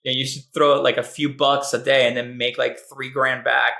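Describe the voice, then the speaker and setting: A man's voice: medium pitch, brisk, creaky. A man from Venezuela who grew up speaking Spanish and Italian, chatting casually as an advertising expert guest. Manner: relaxed, chatty, frequent fillers. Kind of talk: casual interview chat.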